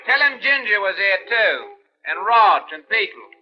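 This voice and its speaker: A man's voice: sits high, big pitch swings, normal speed. A man playing a cocky, flippant young London hoodlum in his late teens in an old radio crime drama. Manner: flippant, insolent. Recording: muffled old radio recording, music behind.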